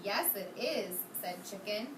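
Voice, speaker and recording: A woman's voice: medium pitch, animated, a little slow. A woman teaching kindergarten, reading a storybook aloud interactively, asking questions and praising her young pupils in an encouraging way. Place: indoor kindergarten classroom. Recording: roomy, distant mic, noisy classroom.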